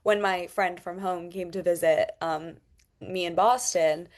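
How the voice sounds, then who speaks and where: A woman's voice: fairly low pitch, steady tone, unhurried, a little mellow. A young woman with a mixed international accent and long vowels, giving thoughtful interview answers with frequent hesitations. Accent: mixed international, elongated vowels from Thai. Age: young adult. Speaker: podcast guest, international student.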